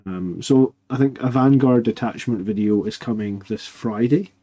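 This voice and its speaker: A man hosting a live stream in casual chat, quiet because he is losing his voice, rambling with fillers and restarts. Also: mid-range voice, normal speed. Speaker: host of a live army list show.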